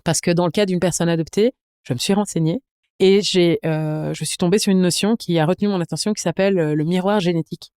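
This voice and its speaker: A woman's voice: fairly low pitch, fast, a little mellow, clear, resonant tone, no echo, clean studio sound. A woman hosting a casual interview in an engaged, informal way. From Belgium. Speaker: podcast host, interviewer.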